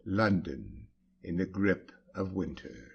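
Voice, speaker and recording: Slow, deep-voiced male audiobook narrator, muffled. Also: animated, vocal fry, husky. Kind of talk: dramatic scripted audiobook narration.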